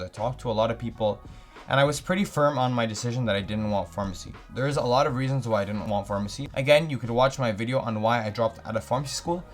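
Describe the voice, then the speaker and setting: Young Canadian guy in his twenties, quick and upbeat vlogger energy, mid-pitched, chatty Q&A answers. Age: 20s. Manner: eager, upbeat, reads each question aloud then answers at length. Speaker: YouTuber answering viewer questions. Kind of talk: casual Q&A vlog talking to camera.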